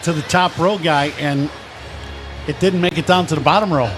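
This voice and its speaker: A man with a high voice talks through the game as a radio color analyst, with music audible behind him. He explains swings and pitches conversationally. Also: normal speed. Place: ballpark broadcast booth.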